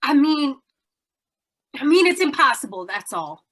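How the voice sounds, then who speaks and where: A woman plays a brassy carnival aunt in a radio crime drama. Her voice is bright and forceful, swinging widely in pitch. She is blunt, suspicious and defensive. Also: very animated, a little slow, full character voice. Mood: startled, flustered. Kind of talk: old-time radio drama acting.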